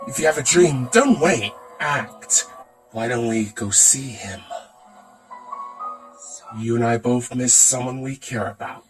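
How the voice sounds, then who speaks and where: A man's voice: medium pitch, slow pace, pauses often, distant mic, music in the background, poor audio. A man performing theatrical character voices in a voice acting reel, switching from one to another. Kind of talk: voice acting demo reel of game and cartoon character impressions.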